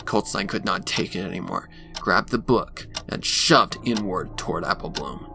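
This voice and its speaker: Very deep, gravelly male story narrator. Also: expressive, normal speed, over music. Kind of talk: scripted dramatic story narration. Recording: noisy home recording.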